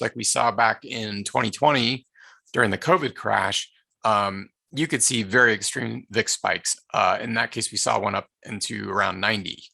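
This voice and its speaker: Hoarse, gravelly man presenting a trading webinar. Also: lowish voice, bright, forceful tone, dry recording. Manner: halting, many filler sounds and restarts. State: hoarse, losing his voice. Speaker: trading educator presenting a live market session. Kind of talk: live webinar market analysis.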